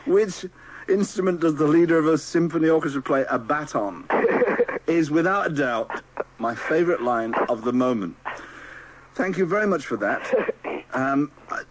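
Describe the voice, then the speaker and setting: A man's voice: fairly high pitch, lively intonation, normal speed, rough recording. A man hosting a radio quiz in live banter, giggly and struggling to keep his composure, on an old radio recording.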